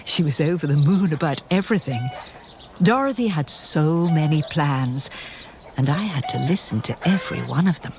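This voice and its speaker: A woman's voice: sits low, animated, normal speed, background noise. An actress playing a loyal, wryly fond woman friend who narrates a radio play. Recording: music under the voice.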